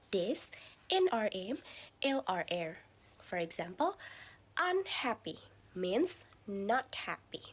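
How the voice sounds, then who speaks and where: A woman giving a class presentation, explaining word parts slowly and carefully, sometimes dropping to a soft whispery delivery. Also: medium pitch, husky. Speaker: student presenter.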